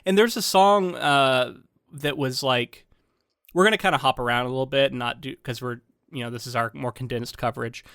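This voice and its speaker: A man's voice: mid-range voice, fairly quick, no echo, clean studio sound. A man co-hosting a podcast, sounding a little congested, chatting casually with lots of ums and restarts. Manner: rambling, animated, frequent ums and restarts. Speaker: podcast co-host.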